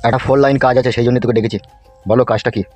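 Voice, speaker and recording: A man voicing a bossy, gruff village strongman in a Bengali comedy cartoon, talking in slangy, foul-mouthed rural speech. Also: medium pitch, fairly even intonation, very fast, a little mellow, natural voice. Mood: commanding. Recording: music behind the voice.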